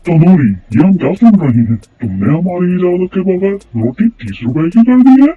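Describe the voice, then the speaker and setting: A man acts out a commanding cartoon king with a husky, fairly high voice that swings in pitch. He speaks formally and regally to his subjects. The recording is tinny and phone-like, with music behind. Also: sing-song, normal speed, full character voice. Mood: stern command. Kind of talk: Urdu moral cartoon story dialogue.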